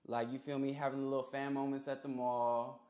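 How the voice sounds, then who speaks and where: A young man chats as an interview guest. His talk is full of slang and swearing, with a boastful streak. The pitch is average and the small studio adds noticeable echo. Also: flat delivery, brisk, a little mellow. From Carson, California. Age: young adult. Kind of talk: casual storytelling in an interview. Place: small dark music studio room. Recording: roomy echo.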